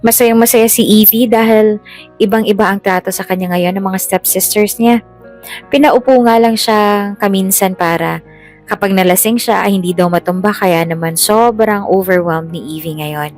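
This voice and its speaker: Female narrator, medium pitch, scripted romantic drama, English phrases mixed in, light music bed. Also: steady tone, normal speed, a little mellow. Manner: mixes English phrases into Tagalog. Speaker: storyteller.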